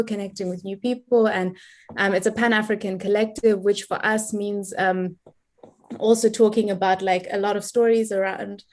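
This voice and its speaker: A young woman from Zimbabwe speaking informally as a guest in an online discussion, halting as she thinks aloud. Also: mid-range voice. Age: young adult. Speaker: guest, community organizer.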